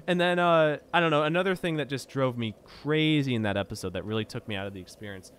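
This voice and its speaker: A man's voice: mid-range voice, fast talker, fairly bright tone, slight background noise. A young man chatting casually while playing a game, rambling, stammering over his words and swearing when something annoys him. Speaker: gameplay streamer. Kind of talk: casual chat while gaming.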